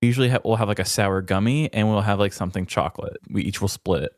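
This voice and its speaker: A man's voice: on the low side, fast, dry recording, studio quality. A man co-hosting a podcast in casual comedic chat, playful, self-deprecating and mock-earnest. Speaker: podcast co-host.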